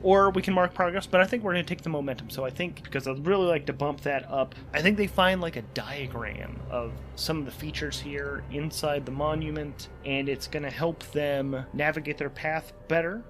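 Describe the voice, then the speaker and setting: A man's voice: a little high, normal speed, few pauses, music in the background. A man hosting a solo tabletop game, thinking aloud informally and correcting himself as he goes, with ambience behind him. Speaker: solo game player and podcast host.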